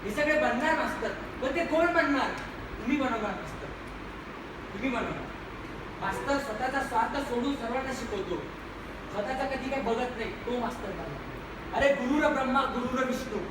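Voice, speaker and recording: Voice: mid-range voice, lively intonation, a little fast, lightly acted. An actor playing a bold, earnest schoolchild, declaiming with repeated phrases in an amateur stage play. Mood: impassioned. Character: bold, earnest schoolchild who idolises the teacher. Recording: echoey hall from far off, noisy.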